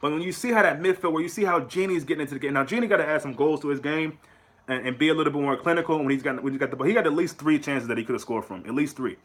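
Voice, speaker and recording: An American man hosting his own fan channel, talking in a casual, animated way to his viewers, often repeating words and pushing up into rhetorical questions. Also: a little high, very fast. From United States. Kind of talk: casual solo commentary to camera.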